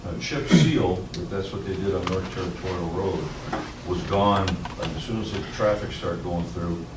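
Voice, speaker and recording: Very low-voiced man, plainspoken, puzzled question, echoey hall. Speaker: resident asking a question. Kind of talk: public comment at a board meeting. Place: township hall meeting room. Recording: echoey room from a far mic, noisy.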